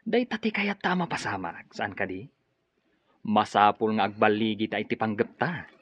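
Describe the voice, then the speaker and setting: Scheming man, swinging pitch. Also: lively intonation, unhurried, slightly rough, no room sound. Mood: gloating. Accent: Ilocano Filipino. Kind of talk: Filipino radio drama acting. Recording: dull lo-fi radio, music behind.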